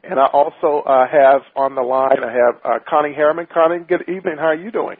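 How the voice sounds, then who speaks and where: A man's voice: on the high side, fairly even intonation, fast talker, husky, dry recording. Upbeat man hosting a phone-in show. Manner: upbeat, emphatic, repeats himself. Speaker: radio show host. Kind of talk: hosting an internet radio talk show. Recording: tinny dry phone-line audio.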